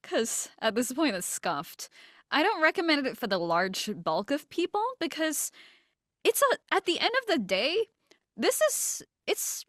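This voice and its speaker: A woman's voice: high voice, very animated, unhurried, no echo. Candid woman chatting, close dry sound. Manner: candid, self-deprecating, casually sweary. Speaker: interview guest, voice actor and illustrator. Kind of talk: casual interview chat.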